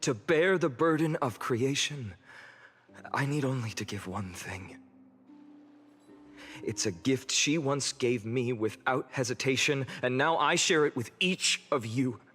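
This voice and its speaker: An actor playing an earnest young heroic leader in a fantasy game, giving a solemn, measured speech to his city. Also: mid-range voice, a bit bright, natural voice. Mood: grave, solemn. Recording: long hall reverb with music behind. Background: music.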